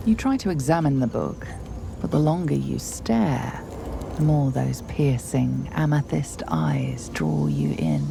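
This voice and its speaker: A mysterious female storyteller for a dark fantasy game. Her voice is deep with heavy vocal fry, moving expressively through grave, ominous narration. Music plays behind her. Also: sing-song, background noise, full character voice. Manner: measured, dramatic, ominous. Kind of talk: scripted second-person narration.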